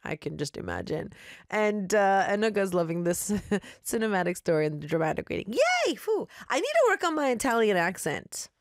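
A woman hosts a music show in a casual, bubbly way and laughs often. Her pitch bounces lively from line to line. The sound is close and dry. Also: moderate pace, no echo. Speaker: radio show host. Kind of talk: casual on-air chat and story reading.

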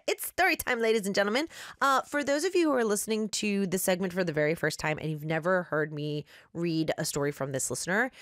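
A woman's voice: on the low side, a bit bright, no echo, studio quality. A woman hosting a radio music show who chats casually, reads listener messages, and laughs often. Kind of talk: casual on-air chat and story reading.

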